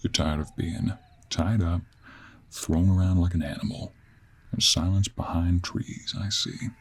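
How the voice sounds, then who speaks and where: A man acts out a stubborn cowboy monster hunter from a fantasy audio drama. His voice is very low and husky, with an American Western drawl. He talks in a gruff, folksy way. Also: steady tone, measured pace. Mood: stern, exasperated. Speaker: audio role play performer. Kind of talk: dramatic audio role play.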